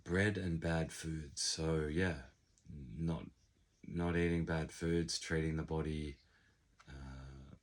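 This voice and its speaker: A man with a croaky, hoarse voice, still sick, talking over his year in a reflective, unhurried vlog with many ums. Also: rumbling low, steady tone. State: hoarse, recovering from illness. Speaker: channel creator. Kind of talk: casual vlog monologue. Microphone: unfamiliar backup microphone.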